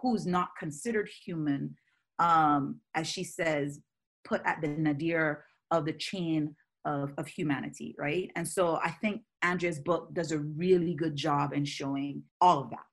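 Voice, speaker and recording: A woman from Barbados, speaking as a scholar guest in a conversational interview, forthright and animated as she works through long thoughts. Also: low-pitched, a little slow.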